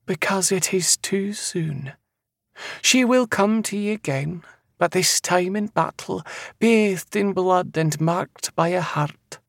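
A man's voice: high-pitched, takes it slowly, creaky, no room sound, clean studio sound, voice pushed up, full character voice. A man voicing an eerie female seer with a Scottish accent, making cryptic, certain prophecies in archaic dialect for an audiobook. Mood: ominous prophecy. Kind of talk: dramatic audiobook character voice.

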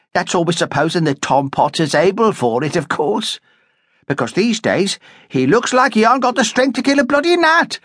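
A man's voice: high voice, a bit bright, no room sound, clean studio sound, voice pushed up, exaggerated voice. A man voicing a spiteful young woman, the innkeeper's daughter, sneering and coarse.

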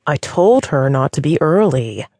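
Audiobook figure: icy, impatient female boss, low dark voice, read by a woman. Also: sing-song, a little slow, no echo, clean studio sound, heavily acted. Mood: cold. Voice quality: deeper voice, cold.

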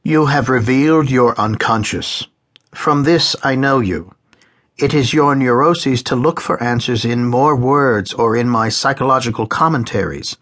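A man's voice: medium pitch, a little slow, a bit bright. A man voicing an elderly male psychiatrist, who speaks in a cold, emphatic lecturing way, raising his voice above his own. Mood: weary. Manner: pronouncing, emphatic.

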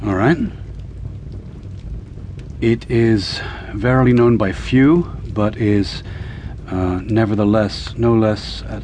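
An actor playing an educated, uneasy assistant in a radio drama, with formal, literate speech that turns hesitant. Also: male voice, lowish voice, darkish tone, a little hiss or hum. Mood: uneasy, halting. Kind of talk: radio drama acting and first-person narration.

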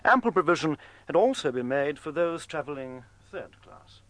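A man's voice: a little high, lively intonation, normal speed, a bit bright. A man giving a formal, scripted reading in a documentary drama. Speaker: reader.

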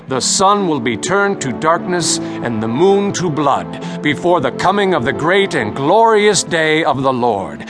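An actor playing an earnest apostle preaching boldly, a man declaiming deliberately in a dramatized audio Bible. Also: fairly high pitch. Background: music.

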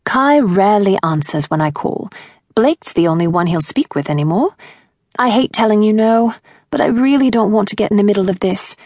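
A woman's voice: mid-range voice, lively intonation, moderate pace, darkish tone, dry recording, studio quality, natural voice. A woman voicing a young English woman, a playful, no-nonsense friend with a sweet voice and blunt, teasing, slangy talk in an audiobook story. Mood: firm, exasperated.

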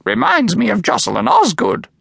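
Guy doing a squawky little lady bird, super high, gravelly edge. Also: normal speed, no echo, studio quality, exaggerated voice. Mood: excited chatter. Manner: shrill, squawky, excited. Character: excitable squawking small female bird.

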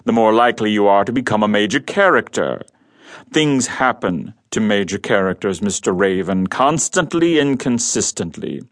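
An actor playing a huge, quietly menacing male crime boss in an audio drama, with a rumbly voice and measured, formal speech. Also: lively intonation. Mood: philosophical, measured.

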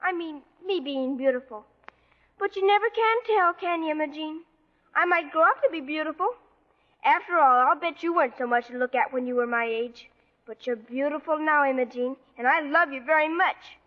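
A woman's voice: high-pitched, normal speed, close to an everyday voice. A young actress playing a cheerful, plucky, chatty little girl in a radio drama. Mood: chatty, eager. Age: child performer. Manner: earnest, chatty, bossy little helper. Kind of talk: 1940s radio drama acting. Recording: muffled vintage radio broadcast.

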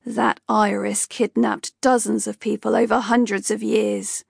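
A woman's voice: mid-range voice, flat delivery, a little slow, gravelly, breathy edge, dry recording, clean studio sound, full character voice. A woman voicing an indignant gargoyle who snarls in protest.

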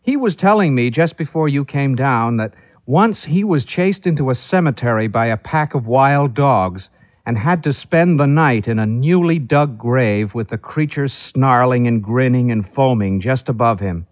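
A man's voice: medium pitch, animated, moderate pace, dry recording, close to an everyday voice. Man reading as a grave little girl, dull recording. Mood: grave, sly. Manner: grave, matter-of-fact. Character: sweet, grave, slyly fibbing little girl.

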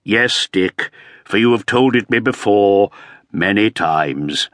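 A man's voice: fairly low pitch, expressive, takes it slowly, a bit bright, no room sound, studio quality. A man voicing a put-upon, aging Elizabethan earl who speaks in a plaintive, complaining way. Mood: aggrieved.

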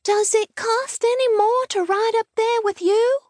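A woman's voice: very high voice, fairly even intonation, a little slow, darkish tone, dry recording, clean studio sound, pitched above the performer's own voice. A woman voicing an eager, wilful ten-year-old storybook girl with a small treble voice. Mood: pleading, curious. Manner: eager, insistent.

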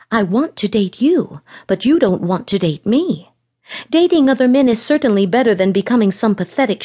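A woman's voice: fairly high pitch, mellow, pitched above the performer's own voice. A woman reading the lines of a frustrated, defiant young woman in an audiobook romance. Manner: heated, defensive.

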